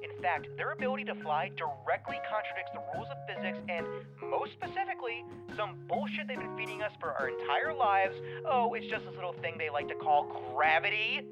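Indignant male conspiracy ranter, lively swooping pitch, emphatic, sweary, audio comedy, music behind. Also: a little fast, dull audio. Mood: hyped ranting. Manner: emphatic, profane, theatrical. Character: indignant conspiracy-minded male flat earther video ranter. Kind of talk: audio fiction comedy acting.

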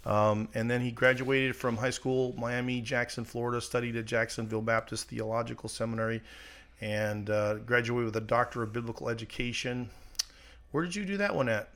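A man's voice: mid-range voice, fairly even intonation, brisk, fairly bright tone. A man co-hosting a casual ministry podcast, joking and chatty as he introduces a guest. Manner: joking, chatty, laughs often. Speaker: podcast co-host. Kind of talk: casual chat.

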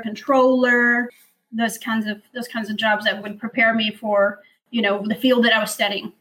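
Bright-voiced woman guest, Spanish native. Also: fairly high pitch, low-quality recording. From Guatemala. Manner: friendly, agreeable, quick to affirm. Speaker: guest, company president. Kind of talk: conversational interview.

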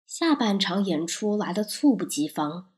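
A woman's voice: mid-range voice, very animated, measured pace, a little mellow, a little hiss or hum. A woman narrating a scripted first-person review as a podcast reviewer.

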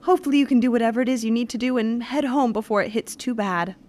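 Woman acting a chirpy, high-voiced 1930s lodge hostess. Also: fast talker, a little mellow, natural voice. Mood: chatty. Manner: chatty, folksy slang. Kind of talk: 1930s radio drama acting.

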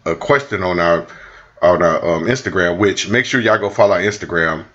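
A man in his late forties talks easily and playfully as a podcast co-host. His voice sits low. The recording sounds dull and lo-fi. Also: a little fast. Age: 40s. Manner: relaxed, joking, sings snatches of songs. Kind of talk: casual chat.

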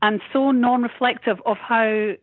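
A woman's voice: fairly high pitch, steady tone, measured pace, dry recording. A woman in her fifties, a musician being interviewed, talking in a passionate, outspoken and emphatic way. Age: 50s. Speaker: musician guest. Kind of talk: candid interview conversation. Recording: tinny phone-line sound.

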